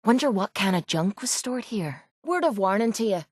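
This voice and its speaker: A woman's voice: mid-range voice, lively intonation, normal speed, no echo, studio quality, natural voice. A woman voicing a tough, sarcastic young Irish mercenary companion in a video game, blunt, cocky and slangy.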